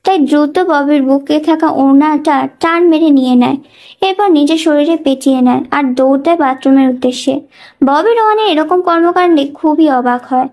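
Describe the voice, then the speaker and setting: High-pitched woman narrating a story. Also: normal speed, darkish tone. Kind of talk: scripted dramatic story narration.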